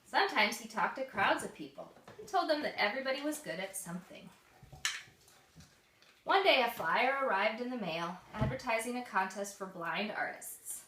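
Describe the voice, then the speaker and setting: A woman reading a picture book aloud to young children, expressive and explaining things simply, like a mother at storytime. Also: on the high side, moderate pace. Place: echoey room with small children present. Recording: echoey room, distant mic, faint noise.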